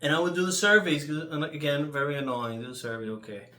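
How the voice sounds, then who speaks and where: A man with a New York accent telling casual, rambling stories in an animated, crude way, swearing freely. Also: a little high, quick pace, reverberant. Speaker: YouTuber.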